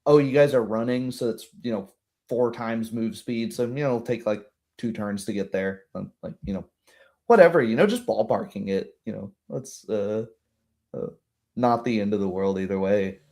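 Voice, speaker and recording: A man's voice: fairly low pitch, moderate pace, vocal fry, darkish tone. A man working as a game master, chatting casually on a stream and explaining his rulings in a rambling way.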